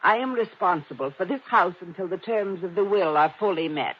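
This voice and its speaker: Prim old housekeeper, high-pitched. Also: male voice, lively intonation, a little fast, breathy edge. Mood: formal, guarded. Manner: formal, proper, genteel. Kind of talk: 1950s radio acting. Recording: dull old radio, faint noise.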